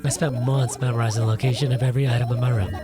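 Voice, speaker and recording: A video host reading a visual novel's text aloud in an expressive storytelling style. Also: mid-range voice, racing, music in the background.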